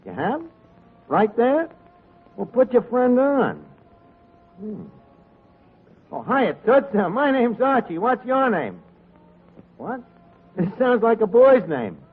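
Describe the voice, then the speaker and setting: Casting: wisecracking, uneducated barkeep, high-pitched and lively, vintage narrow-band radio comedy. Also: male voice, slow, long pauses, slightly rough, heavily acted. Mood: hopeful, wheedling. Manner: mangled grammar, mock-polite, brash. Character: scheming, wisecracking tavern manager, brash and uneducated. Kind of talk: 1940s radio comedy acting.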